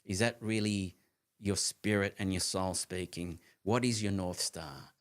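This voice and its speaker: A man in his late fifties co-hosting a casual conversation, talking in an animated, emphatic way with frequent restarts and the odd casual swear word. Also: low voice, unhurried. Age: 50s. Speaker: co-host of a live conversation show.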